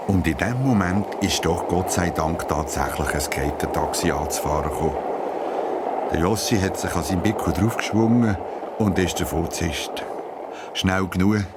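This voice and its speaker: A man with a Swiss accent narrating a scripted children's story, using dramatic pauses. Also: low voice, heavy background noise. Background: plucked guitar music.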